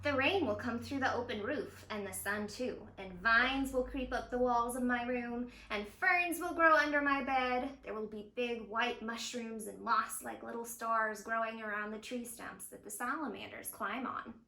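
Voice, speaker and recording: Woman reading as an eager, bright-voiced young boy. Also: on the high side, moderate pace, hardly any pauses. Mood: eager, earnest. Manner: earnest, confident, explaining plans. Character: eager, imaginative young boy in a picture book. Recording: roomy echo of a home interior.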